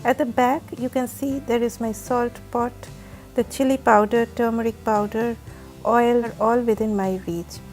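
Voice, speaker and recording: A woman with a raspy, heavy voice from a flu, giving careful step-by-step cooking instructions as the host of a recipe channel. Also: on the high side, fairly even intonation, measured pace, a little mellow, soft background music. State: hoarse from a flu. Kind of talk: recipe voice-over narration.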